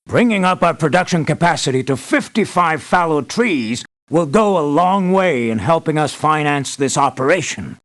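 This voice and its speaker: An actor playing an earnest, measured male leader giving formal, deliberate briefings in a scripted video game. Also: a little high, fairly bright tone, full character voice. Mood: measured, approving. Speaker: mission briefer.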